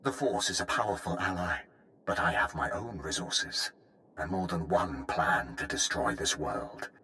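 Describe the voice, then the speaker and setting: Game villain: menacing, grandiose cult leader, low and animated delivery, taunting. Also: male voice, measured pace, slight background noise, heavily acted. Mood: smug menace. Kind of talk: dramatic video game voice acting.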